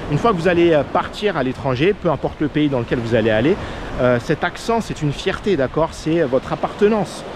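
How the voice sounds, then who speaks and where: Man from France, French accent, higher-pitched, earnest advice, outdoors with waves and traffic audible. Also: lively intonation, fairly quick. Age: under 40. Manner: earnest, many fillers and repeated words. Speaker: vlogger, expat coach. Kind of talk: casual advice monologue.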